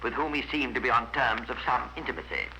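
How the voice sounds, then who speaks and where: Composed, wry crime-series narrator, sharp voice, faintly noisy vintage radio drama. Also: male voice, medium pitch, lively intonation, fast.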